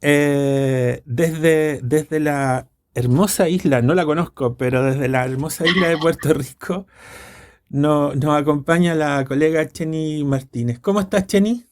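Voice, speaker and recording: A man's voice: on the high side, unhurried, darkish tone. A man with a Chilean accent hosting a podcast interview, upbeat and chatty, often stumbling on repeated words. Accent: Chilean Spanish. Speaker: podcast host.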